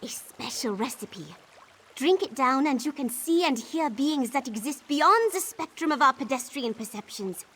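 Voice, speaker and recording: A woman voicing an eccentric, excitable potion brewer in a video game, theatrical and effusive. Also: high voice, moderate pace. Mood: dreamy wonder. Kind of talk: video game character dialogue.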